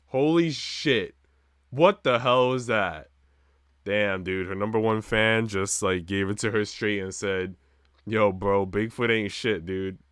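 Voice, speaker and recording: A man's voice: lowish voice, takes it slowly, fairly bright tone, smooth, no echo, clean studio sound. A man streaming a visual novel, reading the story narration expressively with casual asides.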